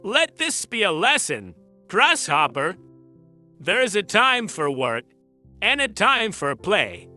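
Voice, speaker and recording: A man's voice: sits high, sing-song, slow, bright, forceful tone, faint music behind, exaggerated voice. A man voicing a prudent, hardworking little ant, kindly but firm, in a children's fairy tale. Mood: kindly lecturing. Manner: earnest, instructive.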